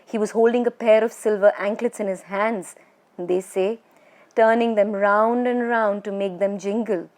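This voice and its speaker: A woman voicing a nervous, superstitious male farmer from a children's storybook, giving fearful warnings in a hushed, hesitant way. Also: medium pitch, fairly even intonation, normal speed, natural voice. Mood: fearful, ominous.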